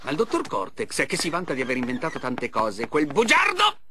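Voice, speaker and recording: A man's voice: a little high, huge pitch swings, fairly quick, background noise. A man voicing a boastful, resentful mad scientist sidekick in an Italian cartoon game dub, grandiose and erratic. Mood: grandiose, ranting. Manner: grandiose, erratic, over-the-top.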